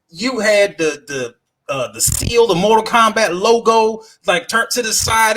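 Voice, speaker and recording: A man co-hosting a casual podcast chat, talking in an animated, halting way and repeating phrases for emphasis. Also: sits high. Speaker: podcast co-host.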